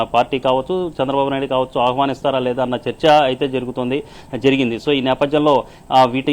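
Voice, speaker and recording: A male news correspondent with a mid-range voice reports live without a script. He hesitates often and repeats his words as he goes. There is faint noise behind him on the live line. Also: mid-range voice. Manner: halting, repetitive, with many drawn-out hesitations.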